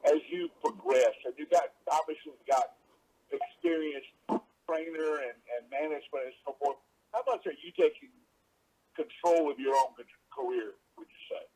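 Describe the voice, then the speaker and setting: A man asking halting, rambling questions as a sports reporter on a conference call. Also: on the high side, warm tone, breathy edge, low-quality recording.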